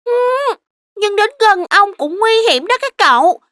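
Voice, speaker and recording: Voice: very high voice, animated, measured pace, sharp tone, no echo, heavily acted. A performer voicing a cautious, thoughtful little child in a children's cartoon dub. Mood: doubtful, thoughtful.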